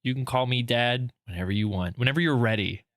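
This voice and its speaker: A man's voice: mid-range voice, fast talker, a bit bright, no room sound. Man, podcast co-host, playful, teasing, chatty, dry close sound. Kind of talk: casual podcast chat.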